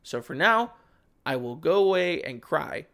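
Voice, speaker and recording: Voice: mid-range voice, lively intonation, measured pace, vocal fry, fairly bright tone. A video essayist delivering scripted commentary with informal, wry asides. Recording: music behind the voice. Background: background music.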